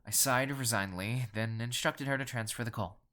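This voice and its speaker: A man's voice: medium pitch, fairly quick, bright, forceful tone, lightly acted. A man playing a pompous, ruthless wealthy collector, telling a dramatic horror story in formal, cool, theatrical phrasing. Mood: haughty, guarded. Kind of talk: dramatic first-person horror narration.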